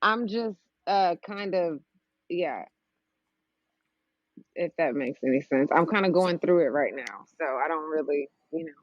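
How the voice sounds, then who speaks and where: A woman's voice: low voice, animated, unhurried, pauses often, dry recording, muffled recording. A woman comedian speaking as a podcast guest in casual chat, hesitant and guarded.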